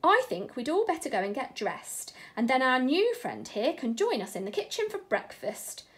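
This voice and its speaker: A woman's voice: sits high, huge pitch swings, moderate pace, fairly bright tone, exaggerated voice. A woman voicing an astonished, kindly father in a storybook, with an emphatic, exclaiming manner.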